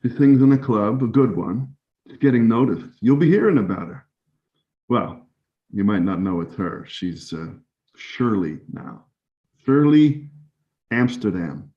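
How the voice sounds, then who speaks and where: Guy acting a hesitant evasive forties man in a 1930s stage drama, lowish voice, dull lo-fi audio. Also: lively intonation. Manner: halting, evasive, conciliatory. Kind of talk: dramatic two-person scene reading.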